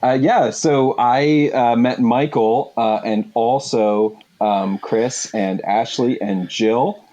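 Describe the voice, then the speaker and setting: A man telling a casual anecdote in a group interview, speaking in a halting, hesitant way. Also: medium pitch, warm tone, lo-fi sound. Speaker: voice actor, interviewed cast member.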